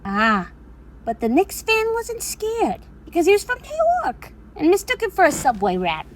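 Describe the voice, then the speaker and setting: A woman's voice: extremely high pitch, huge pitch swings, moderate pace, a little hiss or hum, heavily acted. A woman acting in an audio drama as an exasperated storyteller, telling a mocking fable in a theatrical way. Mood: dramatic storytelling.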